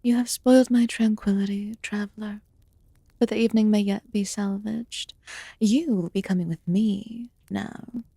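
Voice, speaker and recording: A woman playing an ancient, aloof fae in a fantasy ASMR roleplay, murmuring softly and formally with some whispered phrases. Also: mid-range voice, fairly even intonation, gravelly, breathy edge, no room sound, clean studio sound. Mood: aloof, commanding. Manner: slow, deliberate, archaic formal wording. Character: ancient aloof female fae recluse, haughty yet quietly caring.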